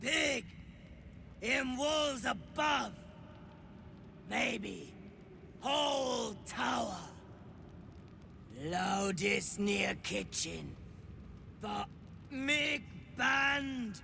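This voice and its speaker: A woman voicing a crazed small female creature who talks in clipped, broken phrases with a gravelly voice. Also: a little high, animated, very slow, long pauses, pushed and bright, shouting, slight background noise, exaggerated voice. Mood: excited. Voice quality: gravelly, creaky.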